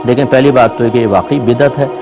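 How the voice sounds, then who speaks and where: A man speaking as an Islamic scholar, answering a religious question in a measured, explanatory way, point by point. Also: mid-range voice, fairly even intonation, music in the background, rough recording.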